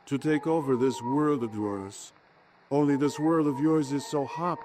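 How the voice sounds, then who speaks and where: A man's voice: mid-range voice, close to an everyday voice. A man voicing an eerie alien hive-mind, noisy vintage radio. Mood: cold menace. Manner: slow, solemn, commanding, speaking as 'we'. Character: alien hive-mind speaking through a possessed man's voice. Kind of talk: 1940s radio horror acting.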